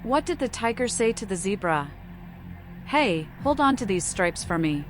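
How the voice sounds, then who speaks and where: A woman's voice: medium pitch, very animated, moderate pace, a little hiss or hum, close to an everyday voice. A woman voicing a mad, childlike young vampire in a video game, speaking in giddy, cryptic, singsong riddles. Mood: playful, erratic.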